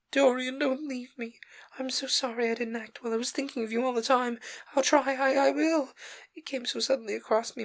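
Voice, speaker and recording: A desperate young actress from an old novel, her voice lifted high and dropping into breathy, broken whispers, as a male reader puts her on. She begs and trembles, pleading tenderly and in near panic. It is a storybook reading. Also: fairly bright tone. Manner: tearful, breathy whispering pleas. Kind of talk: audiobook character voice.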